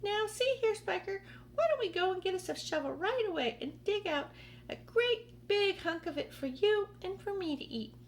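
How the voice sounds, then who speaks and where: Storybook aunt, shrill and screeching, greedy and bossy, as a woman reads her; a faint hum runs behind. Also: unhurried, sharp tone, heavily acted. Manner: high screechy squeals.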